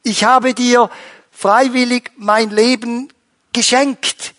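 A man preaching a sermon to his congregation, rising in pitch and volume when he stresses a point. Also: slow. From Switzerland. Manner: animated, rises in pitch and volume for emphasis. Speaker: pastor.

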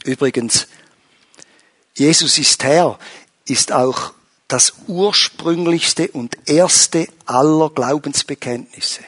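A man preaching a sermon to his congregation, rising in pitch and volume when he stresses a point. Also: takes it slowly, breathy edge. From Switzerland. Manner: animated, rises in pitch and volume for emphasis. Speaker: pastor.

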